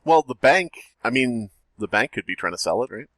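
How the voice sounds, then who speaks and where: A man co-hosting a casual podcast, telling stories in an animated, joking, chatty way. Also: mid-range voice, fairly quick, dry recording. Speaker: podcast co-host. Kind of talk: casual chat, storytelling. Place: home.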